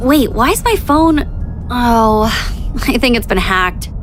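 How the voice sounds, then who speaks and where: A woman's voice: on the high side, animated, takes it slowly. A woman voicing a playful, wry, breezy female character in a video game. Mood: mildly puzzled. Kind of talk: English game dub acting. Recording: music under the dialogue.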